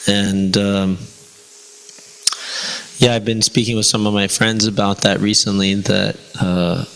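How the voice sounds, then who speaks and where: Male teacher, Spanish first language, gravelly voice, casual class talk. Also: on the low side, little pitch movement, a little slow, fairly bright tone, rough recording. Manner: conversational, many fillers and rhetorical "right?". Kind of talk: informal devotional class talk.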